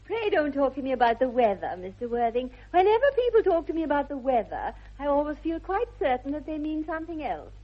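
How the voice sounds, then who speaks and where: A woman's voice: high voice, very animated, fairly quick, hardly any pauses. An actress playing a self-assured young upper-class English society lady in a 1960s radio comedy, speaking with arch precision. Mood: assured, romantic. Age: young adult. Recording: slightly dull vintage radio recording.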